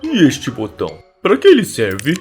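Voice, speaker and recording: A woman voicing an excitable, exclamatory Santa Claus in a children's cartoon. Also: sits low, moderate pace, soft background music. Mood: delighted, curious. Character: jolly, excitable, easily frightened male Santa Claus.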